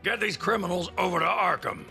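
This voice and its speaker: An actor playing an earnest, gruff middle-aged police commissioner with a gravelly voice in a video game. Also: male voice, high voice, expressive, brisk, sharp tone, soft background music, heavily acted. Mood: earnest, puzzled.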